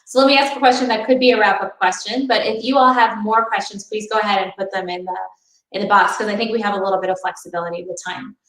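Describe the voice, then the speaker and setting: A woman's voice: medium pitch, steady tone, fast, a bit bright. Brief: woman moderator, curious and friendly, echoey room, sits away from the mic. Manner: friendly, curious, many fillers. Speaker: interviewer host. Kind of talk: moderating a book talk. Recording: roomy, distant video call audio.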